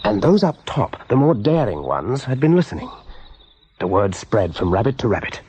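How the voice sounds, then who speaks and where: A man's voice: fairly low pitch, sing-song, moderate pace, a little mellow, slight background noise. A man narrating a radio drama as a storyteller, with vivid, suspenseful delivery. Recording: dull 1980s radio recording.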